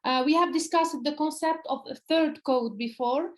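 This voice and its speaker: Friendly lady moderator, high voice, reading out chat questions on a video call. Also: moderate pace. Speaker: event coordinator and moderator.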